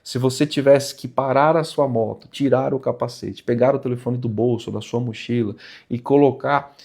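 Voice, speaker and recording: A man with a Brazilian accent and a medium-pitched voice speaks as a vlog presenter. He explains point by point in a conversational, didactic way, leaning on key words for emphasis. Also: fairly even intonation, normal speed, vocal fry, darkish tone. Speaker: presenter of a motorcycle channel. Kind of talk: explanatory vlog talk to viewers.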